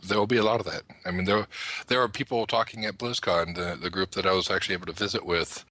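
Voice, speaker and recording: A man's voice: deep voice, fairly even intonation, fast, pushed and bright, no echo. A man talking casually and explaining things articulately, as a panelist on a gaming podcast.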